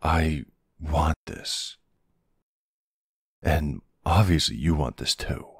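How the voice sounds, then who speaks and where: A man playing a gruff but tender, protective older man in an intimate ASMR boyfriend roleplay, speaking hesitantly with casual endearments. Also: extremely low pitch, slow pace, no echo, clean studio sound, exaggerated voice. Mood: nervous, tender. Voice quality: gravelly, husky. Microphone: close, dry microphone.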